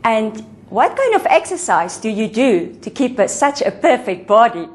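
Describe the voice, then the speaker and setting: A woman's voice: fairly high pitch, big pitch swings, normal speed. A woman with an Afrikaans accent, a television presenter asking an interview guest formal, polished questions. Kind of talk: clip of a broadcast interview.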